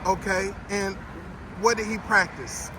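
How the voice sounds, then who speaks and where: A man with a notably high voice hosts a street interview, asking direct questions casually. Clear traffic and street noise run behind him outdoors. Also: steady tone, measured pace, sharp tone, rough recording.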